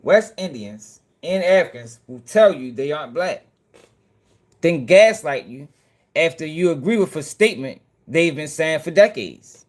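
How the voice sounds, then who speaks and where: A man's voice: on the high side, lively intonation, measured pace. Blunt, profane man hosting a reaction stream. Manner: blunt, profane, talks straight to viewers. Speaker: commentary channel host. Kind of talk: casual reaction commentary.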